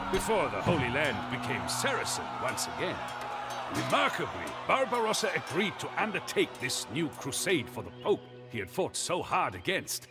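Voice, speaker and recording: An actor playing an old man who narrates a medieval emperor's tale in a video game cutscene, with theatrical, measured, emphatic phrasing. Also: on the high side. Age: older. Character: old man, dramatic storyteller of a medieval emperor's rise and fall. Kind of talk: scripted dramatic storytelling narration for a game cutscene. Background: loud music.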